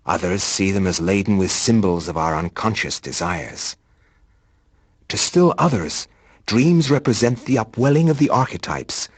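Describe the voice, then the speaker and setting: Casting: learned, haunted male narrator, raspy, solemn, dry studio-like game voice-over. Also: on the low side, a little mellow, dry recording. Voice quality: husky. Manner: deliberate, scholarly. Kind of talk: scripted video game narration.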